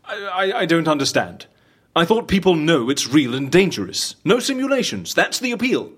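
Curious, husky, animated secret agent. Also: male voice, on the high side, moderate pace. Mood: puzzled, intrigued. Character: curious, probing male agent for secret death-faking agency. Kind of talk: audio drama dialogue.

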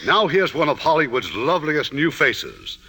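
A man's voice: fairly high pitch, sing-song, normal speed, pushed and bright, breathy edge, in a large hall. A man announcing scripted promotional copy as host of a 1950s radio broadcast.

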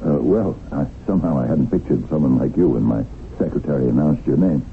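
A man's voice: a bass voice, a little fast, vocal fry. A man playing a bemused, gallant business boss in a radio drama. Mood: surprised, charmed. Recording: tinny old radio recording with faint noise.

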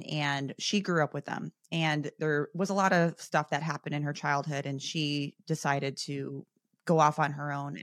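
A woman's voice: sits low, fairly bright tone, dry recording, studio quality. A woman hosting a podcast interview, asking questions in a curious, conversational way. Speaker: podcast host.

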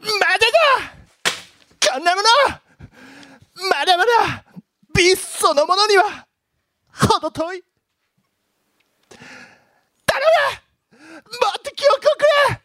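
A woman's voice: very high voice, very animated, slow, pauses often, pushed and bright, no echo, heavily acted. A woman playing an obsessed, tormented male painter in a radio drama, frantic and strained. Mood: desperate craving. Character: obsessed, tormented male painter craving ever more extreme inspiration. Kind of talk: Japanese radio drama acting.

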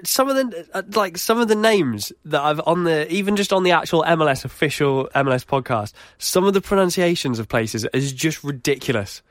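A man's voice: fairly high pitch, expressive, brisk, few pauses, no room sound, clean studio sound. A British man co-hosting a casual sports podcast, frank and teasing. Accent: British English. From Britain. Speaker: podcast co-host.

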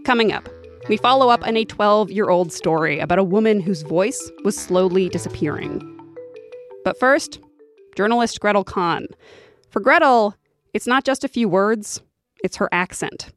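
A woman's voice: mid-range voice, expressive, normal speed, soft background music. A woman hosting a podcast, telling stories in conversational, scripted narration. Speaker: podcast host.

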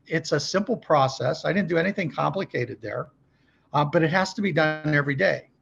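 A man's voice: fairly high pitch, expressive, a little fast, vocal fry, a bit bright, slightly rough, dull audio. A man giving conversational coaching as a podcast guest, speaking emphatically and checking in with short rhetorical questions. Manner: emphatic, asks rhetorical check questions, acts out sample calls. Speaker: podcast guest, car dealership service trainer.

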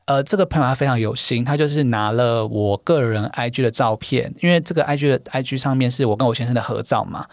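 A man's voice: mid-range voice, no room sound. A man, a podcast guest telling stories about the dating events he runs, chatty and full of filler words. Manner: brisk, chatty, many fillers and repeated "对". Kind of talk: podcast interview, storytelling.

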